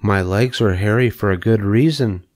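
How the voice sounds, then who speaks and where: A man voicing a clever, patient female spider in a storybook, measured and matter-of-fact. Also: fairly low pitch, darkish tone, close to an everyday voice. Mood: precise, informative. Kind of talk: storybook character reading.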